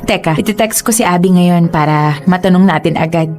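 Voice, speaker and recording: A woman's voice: fairly low pitch, a little fast, darkish tone, faint music behind, natural voice. An actress playing a loving, reassuring young girlfriend in a radio drama, cheerful and laughing often.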